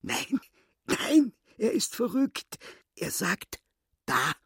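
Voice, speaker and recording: Husky, cocky little hustler. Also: male voice, high-pitched, sing-song, very slow, a bit bright, no room sound, clean studio sound, exaggerated voice. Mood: excited, suspicious.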